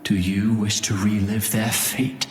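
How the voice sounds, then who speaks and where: An actor playing a solemn, ominous inquisitor in a video game, delivering veiled warnings gravely and poetically. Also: male voice, on the low side, little pitch movement, measured pace, natural voice. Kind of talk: dramatic game voice acting. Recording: echoey, reverberant voice over music.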